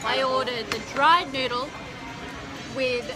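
A woman's voice: high voice, steady tone, takes it slowly, loud music behind the voice. A young woman in her twenties chatting bubbly and casually into a phone held at arm's length, laughing often while out at night. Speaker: vlogger. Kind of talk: casual travel vlog. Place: busy outdoor restaurants and streets at night. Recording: loud crowd around the phone mic. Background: crowd chatter.

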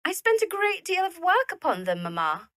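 Young victorian widow woman acting, high voice, very animated, indignant and proper, period drama. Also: moderate pace, no room sound, studio quality, full character voice. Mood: hurt, defiant. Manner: formal, indignant, emphatic.

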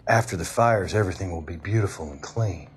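An actor playing a deranged, dreamy arsonist who speaks deliberately and with eerie messianic calm, in a crime game. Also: male voice, low-pitched, slight background noise, close to an everyday voice. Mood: zealous. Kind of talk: dramatic period crime game acting.

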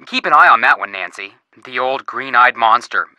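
Cocky kid-brother detective, bright lively voice, jokes at everyone's expense. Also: male voice, medium pitch, moderate pace, dry recording, low-quality recording. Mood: teasing. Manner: wisecracking, teasing, eager. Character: joking teenage boy detective, younger brother.

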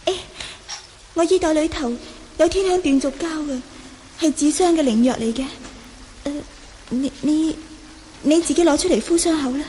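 An innocent young Buddhist nun acted by a woman with a Hong Kong Cantonese accent. Her voice is strikingly high, anxious and halting, played for old radio drama with faint noise in the recording. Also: mellow, lightly acted. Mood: worried, pleading. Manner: hesitant, anxious, pleading.

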